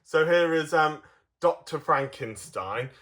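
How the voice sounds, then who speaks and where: A man giving a casual, enthusiastic show-and-tell as a hobbyist, rambling and halting in mid-sentence. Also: on the high side, expressive, a bit bright. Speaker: hobbyist presenter of custom toy figures.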